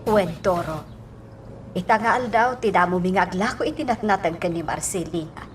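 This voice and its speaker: Aktres kas naimbag nga ikit nga aglaklako. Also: female voice, lowish voice, moderate pace, soft background music, natural voice. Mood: practical, caring. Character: motherly older market-vendor aunt, practical and caring. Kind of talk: Ilocano radio drama acting.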